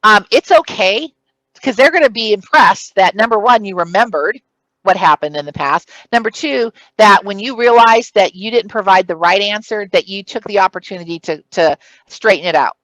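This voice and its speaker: A woman's voice: mid-range voice, expressive, normal speed, fairly bright tone, no room sound, rough recording. A woman presenting a conference session on interview preparation. She speaks in a strong, projected voice and lays out emphatic, numbered points. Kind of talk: recorded conference talk giving career advice.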